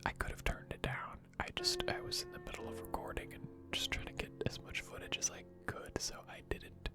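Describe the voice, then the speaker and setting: Casting: hushed ASMR game streamer, whispered and unhurried casual commentary, loud music underneath.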